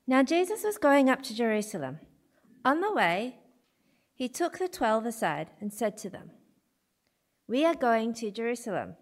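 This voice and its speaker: A woman reading a Bible passage aloud in a church, measured and steady. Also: fairly high pitch. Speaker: scripture reader.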